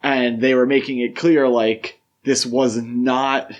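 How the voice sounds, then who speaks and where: A man's voice: mid-range voice, little pitch movement, slow pace, muffled recording. A man co-hosting a podcast, chatting casually and giving his opinions with emphasis. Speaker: podcast co-host. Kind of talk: casual film discussion chat.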